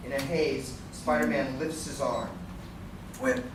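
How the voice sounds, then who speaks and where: A man's voice: medium pitch, big pitch swings, a little slow. Male radio play narrator in echoey hall. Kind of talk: scripted dramatic narration. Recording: echoey hall, distant mic, noisy.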